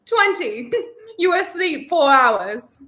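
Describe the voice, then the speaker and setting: A woman's voice: high-pitched, big pitch swings, takes it slowly, sharp tone, exaggerated voice. A young woman acting a spirited romantic heroine in a 1930s-style radio play. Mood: teasing. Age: young adult.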